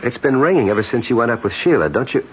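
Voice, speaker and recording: Uneasy American male visitor played by a man, halting, varied intonation, muffled old radio-play sound. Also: mid-range voice, animated, natural voice. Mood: distracted, puzzled. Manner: hesitant, halting, natural. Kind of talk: 1970s radio drama acting. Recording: dull, noisy 1970s radio broadcast.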